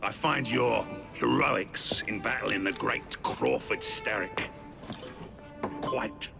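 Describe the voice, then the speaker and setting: An actor playing a flamboyant, theatrical Victorian gang boss in a video game, gravelly and grandiose, savoring each phrase. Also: male voice, lowish voice, slow, over music, full character voice. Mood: silky, amused.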